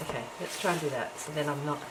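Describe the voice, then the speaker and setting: Middle-aged woman, low croaky morning voice, chatty crafting vlogger full of ums and little laughs, camera-mic sound with audible hiss. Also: fast talker. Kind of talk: casual chat while crafting. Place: home, early morning. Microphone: camera's built-in mic. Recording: noisy home camera recording.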